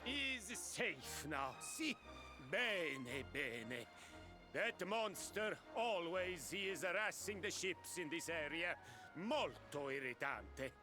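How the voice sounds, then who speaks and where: A man's voice: on the high side, sing-song, takes it slowly, over loud music. A man voicing a grateful, dramatic merchant father in a video game, with an Italian accent and courtly, broken English. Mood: earnest, apologetic.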